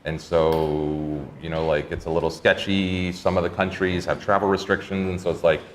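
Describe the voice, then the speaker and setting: A man's voice: low-pitched, little pitch movement, moderate pace, slight background noise. A man hosting a live stream, chatty and reading viewer comments aloud. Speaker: host. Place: indoor co-working space.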